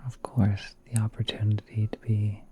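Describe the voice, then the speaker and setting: A man playing a gentle, courteous spider prince in a whispered ASMR roleplay, speaking softly and politely in an unhurried, musing way. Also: lowish voice, flat delivery, lo-fi sound, noisy background, lightly acted. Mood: soothing, hospitable.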